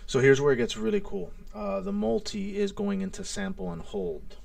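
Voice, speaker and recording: A man hosting a casual live gear demo, explaining in a halting way as he thinks aloud and works. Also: sits high, expressive, vocal fry, a little mellow, no echo, recorded from a distance. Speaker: live stream host and synth demonstrator. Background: synthesizer tones and music.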